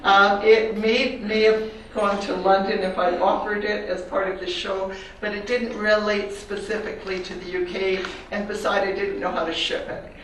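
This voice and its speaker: A woman's voice: medium pitch, little pitch movement, a little hiss or hum. Older canadian lady talking about her art, thoughtful, lots of ums, sounds far off in a big echoey hall. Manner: reflective, personal, pauses with fillers. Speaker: artist giving a talk. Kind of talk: slide talk to an audience. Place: large echoey room.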